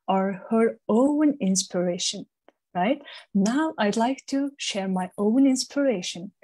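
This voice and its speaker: A woman from Mongolia gives an impromptu speech, halting and repeating words, often adding tag questions. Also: a little high, darkish tone. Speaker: club member giving an impromptu speech.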